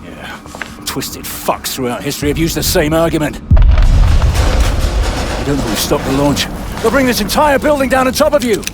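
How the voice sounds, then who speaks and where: An actor playing a tough, defiant soldier in a video game, blunt and gritty in manner. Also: male voice, fairly high pitch, sing-song, moderate pace. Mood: defiant, outraged. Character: tough, defiant male soldier, loyal comrade. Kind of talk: video game cutscene acting. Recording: very loud game music behind.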